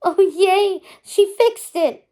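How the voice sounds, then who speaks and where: A woman voicing an excitable, impulsive young girl in a storybook, full of exclamation. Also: extremely high pitch, takes it slowly, voice pushed up. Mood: elated.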